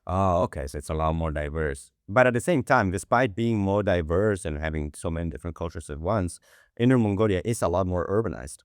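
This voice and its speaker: A man hosts a podcast in a relaxed, chatty way, peppering his speech with "like". His voice sits very low. The sound is dry and close to the mic. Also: brisk, smooth, no echo. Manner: loose, lots of "like" fillers. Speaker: podcast host. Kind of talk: casual podcast chat.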